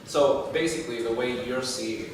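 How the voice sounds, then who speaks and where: A man's voice: mid-range voice, normal speed, far from the mic. Man moderating in an echoey, noisy room. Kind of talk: casual event moderating. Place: indoor room at a table read event.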